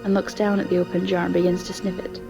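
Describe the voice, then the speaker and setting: A woman's voice: fairly low pitch, little pitch movement, fast talker, gravelly, music in the background. A woman narrating a scripted audio story.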